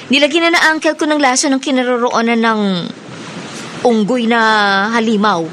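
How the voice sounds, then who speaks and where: A woman's voice: on the high side, fairly even intonation, moderate pace, a bit bright, a little hiss or hum, natural voice. A woman acting a concerned young female friend in a radio drama.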